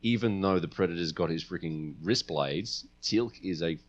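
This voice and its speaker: Low-voiced man chatting on a podcast. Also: moderate pace, muffled recording. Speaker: podcast co-host. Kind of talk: casual debate about science fiction characters.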